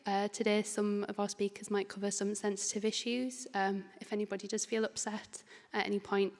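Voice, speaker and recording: A woman chairing a conference session who introduces the speakers steadily and says um often. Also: medium pitch. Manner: steady, with frequent ums. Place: conference hall. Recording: reverberant conference hall.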